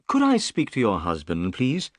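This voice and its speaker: A man's voice: mid-range voice, huge pitch swings, moderate pace, dry recording, studio quality. A man voicing a composed, courteous, shrewd detective in an audiobook story, polite and confident. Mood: polite, inquiring.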